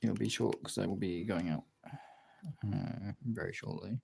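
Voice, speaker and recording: Low creaky young streamer, noisy recording. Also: steady tone, unhurried. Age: young adult. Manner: informal, muttering to self between chat replies. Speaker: livestream host. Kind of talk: casual livestream chat while drawing. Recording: clear background noise, clicks.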